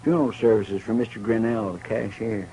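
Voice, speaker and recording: A man's voice: fairly low pitch, steady tone, fairly quick, dark tone, natural voice. A man playing a loyal, earnest frontier deputy with a plain, folksy manner in an old-time radio western. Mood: dutiful. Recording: noisy old radio recording.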